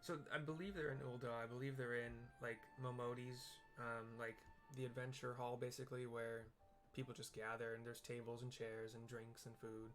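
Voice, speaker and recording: Man, mid-pitched voice, casual gamer narration, informal explanations, faint music behind. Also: little pitch movement, normal speed, hardly any pauses. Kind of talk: casual audio description of a game.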